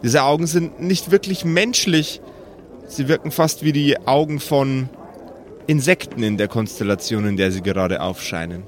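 A man running an improvised tabletop game as game master, describing scenes in a dramatic, deliberate way with pauses. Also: medium pitch.

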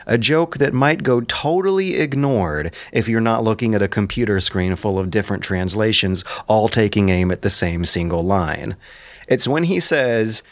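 A man with a fairly deep voice presents a scripted literary commentary. He sounds learned and enthusiastic, leaning firmly on his points. The recording is dry, with very little room sound. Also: animated, moderate pace, no echo.

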